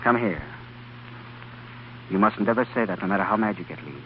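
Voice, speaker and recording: An actor playing an obsessed, driven young scientist in an old-time radio drama, with a distracted, halting, intense manner. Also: male voice, on the low side, close to an everyday voice. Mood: earnest, pleading. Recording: dull, noisy vintage radio recording.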